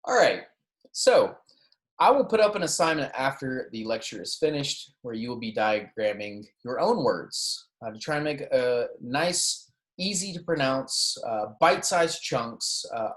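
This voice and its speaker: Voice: mid-range voice, big pitch swings, measured pace. A teacher giving an introductory pronunciation lesson in an instructional way, often checking in with the class and modelling words to repeat. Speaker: Spanish teacher. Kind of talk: introductory language lecture on pronunciation, with repeat-after-me examples. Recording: roomy, echoey sound.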